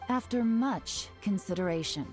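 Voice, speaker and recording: A woman playing a composed, commanding spymaster in a video game, measured and authoritative. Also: mid-range voice, animated, soft background music, natural voice. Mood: formal.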